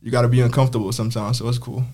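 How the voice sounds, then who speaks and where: A young man from the Caribbean chats in a relaxed, easygoing way as a podcast guest. He laughs easily and tells his story plainly, with a voice of middle pitch. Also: rapid-fire. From Caribbean, Saint Croix. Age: young adult. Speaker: guest, campus barber. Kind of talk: casual podcast chat.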